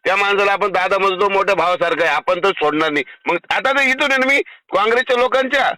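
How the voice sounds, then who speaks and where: A man's voice: high voice, fast, bright, forceful tone, no room sound. A man with a Marathi accent from Maharashtra, blunt, foul-mouthed and insistent in a phone conversation. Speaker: caller making political complaints.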